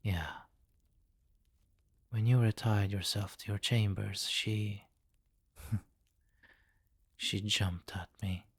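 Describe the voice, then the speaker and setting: A deep, slow-speaking vampire king, acted by a man for an ASMR roleplay. He is commanding and possessive, addressing the listener intimately with teasing condescension. The recording is close and very dry. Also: steady tone, breathy edge, no room sound, exaggerated voice. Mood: amused, smug.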